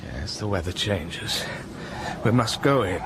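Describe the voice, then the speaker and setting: An ardent older gentleman. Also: low voice, expressive, a little slow, background noise. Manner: ardent, playful. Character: older wealthy gentleman, passionate, teasing, commanding. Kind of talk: period radio drama.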